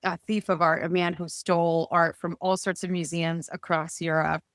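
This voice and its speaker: A woman with a smooth, clear voice chats casually as an interview guest. She is an author who talks with effusive enthusiasm. The sound is dry and close, with no room echo. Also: lowish voice, level tone, moderate pace.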